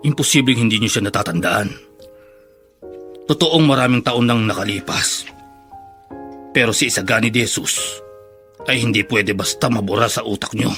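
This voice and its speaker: An actor playing a grim, controlled man in a Filipino radio drama, measured and accusing. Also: fairly low pitch, a bit bright, soft background music. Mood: insistent. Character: grim, controlled man confronting a corrupt politician.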